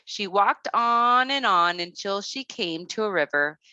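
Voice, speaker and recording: A woman storyteller narrating a children's tale, expressive and careful in her read-aloud delivery. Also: mid-range voice, measured pace, clean tone, no echo, dull audio.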